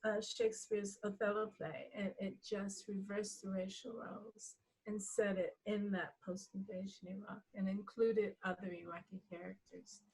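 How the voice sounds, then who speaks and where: A woman's voice: mid-range voice, level tone, normal speed, few pauses, darkish tone, slightly rough, poor audio. Reflective woman guest telling her story. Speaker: interview guest, playwright. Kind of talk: reflective interview answer.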